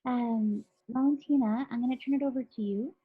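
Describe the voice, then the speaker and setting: Female moderator, husky voice, enthusiastic and affirming, slightly muffled call audio. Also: fairly high pitch, steady tone, a little fast. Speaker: panel moderator. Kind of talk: online panel discussion.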